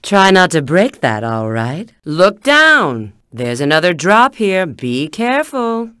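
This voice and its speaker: A woman's voice: fairly low pitch, very animated, slow pace, a bit bright, smooth, no echo, clean studio sound, exaggerated voice. A woman voicing a confident, teasing bat treasure hunter in a video game, coy and instructive. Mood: teasing, cautious, then urgent, alert. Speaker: video game character giving hints. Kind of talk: video game voice acting, tutorial prompts.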